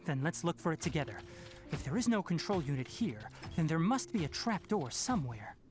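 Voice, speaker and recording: A man playing a young, practical forest ranger in a video game, speaking in a measured, precise, instructive way. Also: on the high side, very animated. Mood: focused. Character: young male fox-eared forest ranger, calm, practical, fastidious. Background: game music.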